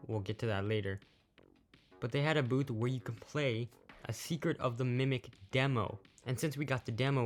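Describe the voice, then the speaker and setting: A man hosts a casual gaming news summary in an informal, conversational way. His voice sits at a middling pitch. Soft background music with guitar plays behind him. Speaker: YouTube host giving gaming news. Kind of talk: casual news summary commentary over images.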